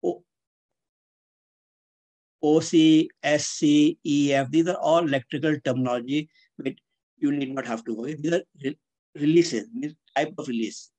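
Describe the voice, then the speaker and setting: An older man giving a webinar lecture as an instructor, speaking in a deliberate, didactic way and repeating key terms. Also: a little high, steady tone, a little mellow. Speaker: engineering instructor. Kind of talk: webinar lecture explaining slides. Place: indoors, on a webcam video call.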